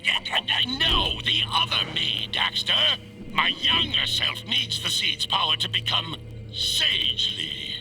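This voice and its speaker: An actor playing a grumpy, bossy elderly sage in a video game, grudging and lecturing. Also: male voice, high-pitched, wildly swooping pitch, slow, pushed and bright, far from the mic, full character voice, low-quality recording. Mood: flustered, irritated. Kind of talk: video game cutscene acting. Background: music.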